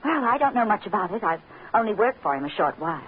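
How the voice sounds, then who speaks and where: A woman performs an anxious, well-meaning secretary in a vintage radio drama. Her mid-pitched voice moves expressively up and down with earnest concern. The recording is thin and narrow, like an old broadcast. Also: very animated, fast, a little hiss or hum, lightly acted. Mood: worried, earnest. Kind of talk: 1940s American radio drama acting.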